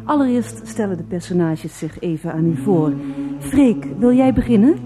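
A woman's voice: mid-range voice, expressive, normal speed, over music. A woman presenting and narrating a radio play in scripted announcements.

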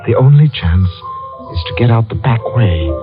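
An actor playing a secretive, anxious brother in a 1940s radio thriller, speaking cautiously and deliberately, as a conspirator. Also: male voice, mid-range voice, animated, natural voice. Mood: conspiratorial, anxious. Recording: dull old radio recording with loud music.

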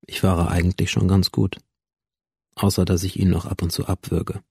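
A sullen young school shooter in a modern radio play. His voice is low and mellow, recorded very dry. He is cynical and defiant, sliding between introspective narration and coarse, sardonic retorts. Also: level tone, moderate pace, vocal fry, slightly rough, no room sound, full character voice. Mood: wistful. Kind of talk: modern radio drama acting.

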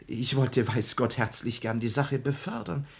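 A man playing a foolish, gullible small-town poet in a classic comedy radio play, effusive and fawning, with a husky voice. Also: medium pitch, animated, unhurried, full character voice. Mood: musing, eager. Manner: effusive, repeats words eagerly.

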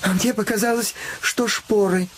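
A man's voice: high voice, fairly even intonation, moderate pace, slight background noise, lightly acted. Man acting an anxious, secretive doctor. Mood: nervous. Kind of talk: theatrical audio play acting.

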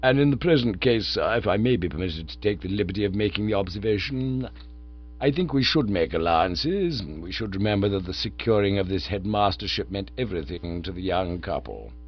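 Unflappable manservant, low and even, read by a man, dull hummy recording. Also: a little fast. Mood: deferential. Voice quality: low, soft, formal. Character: deferential male butler, calm and measured. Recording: dull lo-fi with mains hum.

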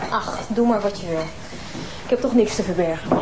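An actress playing a guarded suspect who answers police questions defensively. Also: female voice, mid-range voice, moderate pace, background noise, close to an everyday voice. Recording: roomy TV soundtrack with loud music.

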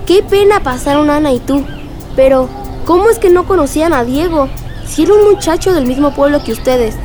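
A woman's voice: sits high, animated, brisk, a little mellow, soft background music. An actress playing a curious, sympathetic woman asking questions in a radio drama. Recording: faint outdoor noise with birdsong.

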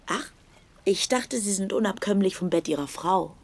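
An actress playing a teasing, forward single woman with a breathy, husky voice in a radio play. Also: medium pitch, moderate pace, close to an everyday voice. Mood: playfully flirtatious. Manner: coquettish, playful.

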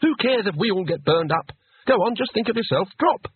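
A man voicing a lively, naive, husky fellow. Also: fairly high pitch, fairly quick, narrow, tinny audio, voice pushed up, exaggerated voice. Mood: goading.

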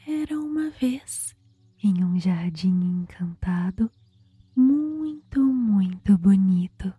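A woman with a Brazilian accent whispers in a soothing ASMR style as she guides relaxation and tells a bedtime story, with long pauses. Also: mid-range voice, lively intonation, dark tone, dry recording. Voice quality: breathy, whispery. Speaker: guided meditation host and storyteller. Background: background music.

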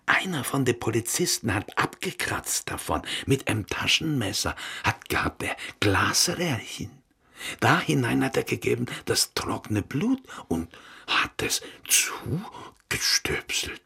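Very husky, agitated synagogue servant. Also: male voice, medium pitch, big pitch swings, a little slow, pushed and bright, heavily acted. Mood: anxious. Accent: Yiddish. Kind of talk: radio play dialogue.

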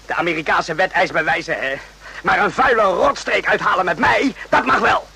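An actor playing an indignant, defensive soldier in a radio play, forceful, with a raspy, strained voice. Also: male voice, high-pitched, normal speed, full character voice.

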